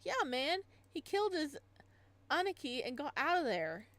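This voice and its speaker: A woman reading visual novel lines as a slangy, emphatic young male bar host sharing excited street gossip. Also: high voice, normal speed, no echo, pitched above the performer's own voice. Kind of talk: visual novel dialogue read aloud.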